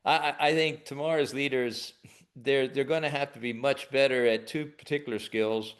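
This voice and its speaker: A man's voice: medium pitch, moderate pace. Conversational American man. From United States. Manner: relaxed, conversational, restarts words often. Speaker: guest leadership expert. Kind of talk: webinar interview.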